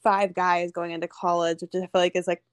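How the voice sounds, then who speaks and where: A woman's voice: lowish voice, flat delivery, brisk, no room sound, clean studio sound. A young woman who is a podcast guest, telling her story in a chatty way with many fillers. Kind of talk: casual chat.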